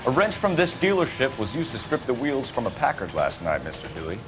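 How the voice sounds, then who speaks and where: An American police detective from a 1940s crime game. His delivery is authoritative and official, with a lively pitch that moves around a lot. Music is clearly heard underneath. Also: fairly quick. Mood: pressing, stern. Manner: clipped, official questioning. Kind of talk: dramatic game acting, period crime story.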